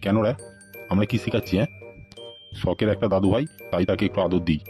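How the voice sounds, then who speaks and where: A man's voice: low-pitched, quick pace, dark tone, faint music behind, close to an everyday voice. A man voicing a protective old village grandfather, doting yet scolding, in a comic cartoon. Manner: scolding, indulgent.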